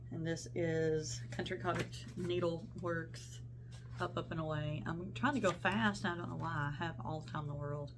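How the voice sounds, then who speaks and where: A woman's voice: fairly low pitch, background noise. A young woman talking casually about her cross-stitch projects, rambling and self-interrupting, her voice congested from a sinus infection. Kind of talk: casual show-and-tell vlog.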